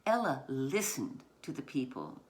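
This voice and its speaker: A woman author reading her children's picture book aloud in deliberate short phrases, with dramatic emphasis on key words. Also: extremely low pitch, huge pitch swings, a little mellow, a little hiss or hum.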